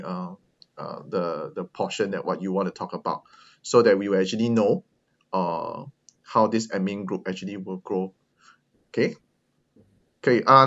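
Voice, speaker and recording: A man's voice: on the low side, a bit bright. A man hosts an online meetup over a video call, working through the attendee list. He talks casually and hesitantly, full of fillers and restarts. The audio is dull and lo-fi. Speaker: meetup host.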